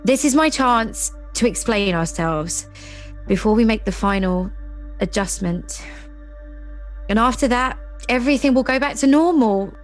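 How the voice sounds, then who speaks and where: Sardonic young career woman, expressive pitch. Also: unhurried, soft background music. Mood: remorseful, grave. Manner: wry, sarcastic. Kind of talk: audio drama acting.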